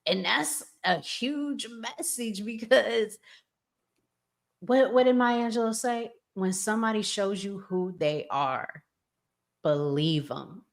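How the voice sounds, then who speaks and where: A woman hosting a podcast in a casual, reflective way, deliberately repeating phrases as she forms each thought. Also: mid-range voice, creaky. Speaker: podcast host.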